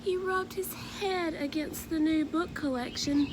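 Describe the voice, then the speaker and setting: A woman narrates a children's story in a fairly high voice, taking her time with every phrase. Her delivery is expressive and measured, over clear background noise. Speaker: storybook reader.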